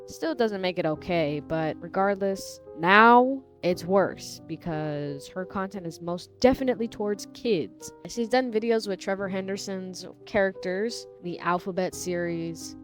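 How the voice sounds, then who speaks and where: A woman giving casual video commentary in an informal, opinionated way. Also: mid-range voice. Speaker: YouTube commentator. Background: music behind the voice.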